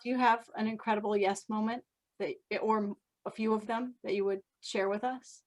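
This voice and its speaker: A woman's voice: medium pitch, fairly even intonation, moderate pace. A woman hosting a casual, conversational interview over a video call. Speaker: interviewer, conference host.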